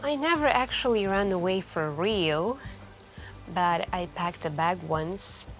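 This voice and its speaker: Slow, soft-spoken wistful woman acted by a woman. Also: fairly low pitch, faint music behind. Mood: nostalgic, musing. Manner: intimate, hesitant, musing, with gentle pauses. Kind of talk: soft-spoken ASMR roleplay.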